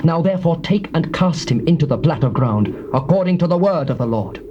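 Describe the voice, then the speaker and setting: Give the voice of a ruthless, zealous warrior king played by a male actor in a scripture drama. He is British, pitched a little high, and declaims with solemn, imperious authority. The old tape is clearly noisy. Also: lively intonation, fairly quick, muffled recording, lightly acted. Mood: solemn, commanding. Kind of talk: dramatised scripture, classical stage acting.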